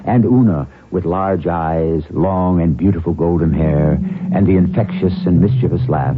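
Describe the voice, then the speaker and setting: A man with a very deep voice hosts a radio mystery, narrating deliberately and theatrically. Music plays clearly behind him on a dull, band-limited broadcast. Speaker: radio drama host. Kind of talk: scripted suspense narration.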